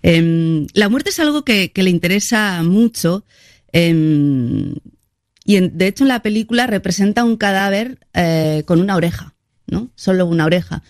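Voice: medium pitch, animated, normal speed, no room sound, clean studio sound. An interviewer asking a director about a film, thinking aloud in a reflective way. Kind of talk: interview questions.

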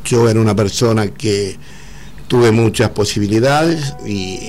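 A middle-aged man with an Argentine Spanish accent, speaking earnestly as an interview guest, often pausing and repeating words. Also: fairly low pitch, darkish tone, faint music behind. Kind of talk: emotional conversational interview.

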